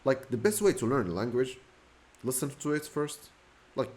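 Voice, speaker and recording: A young Moroccan man talks straight to camera in English with a clear Moroccan accent, his voice sitting in an ordinary middle pitch. He rambles casually, fills gaps with "you know" and "like", corrects himself mid-sentence and rushes through phrases in quick bursts. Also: big pitch swings, a little mellow. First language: Moroccan Arabic. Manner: rambling, self-correcting, fast bursts with fillers. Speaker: vlogger. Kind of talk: casual talking-to-camera story.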